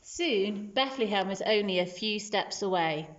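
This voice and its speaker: A woman's voice: mid-range voice, expressive, a little slow, dull audio. A woman teacher reading a children's storybook aloud as scripted narration.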